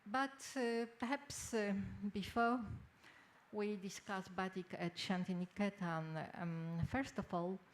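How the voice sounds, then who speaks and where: An older woman from Poland with a Polish accent gives a formal conference lecture in halting, hesitant phrases. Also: medium pitch. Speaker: academic speaker, textile researcher. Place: indoor symposium venue. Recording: noisy live symposium room recording.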